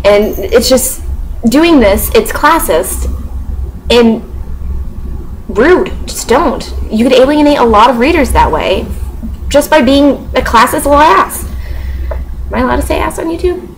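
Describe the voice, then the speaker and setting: A woman's voice: high voice, animated, a little slow, pauses often, a little hiss or hum, rough recording. A young woman from the US Midwest with a mostly neutral American accent, casually reviewing a book chapter with sarcastic asides, fillers and frequent laughter. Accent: mostly neutral American, sometimes Southern.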